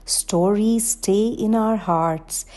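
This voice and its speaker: A woman reading a children's picture book aloud in a deliberate, gentle way, speaking to young listeners as a storyteller. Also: medium pitch. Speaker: storyteller, Montessori educator.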